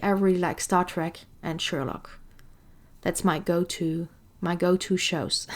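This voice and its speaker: A woman language coach hosting a solo podcast, chatty and lively with playful asides; English is not her first language. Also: mid-range voice, vocal fry. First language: non-English.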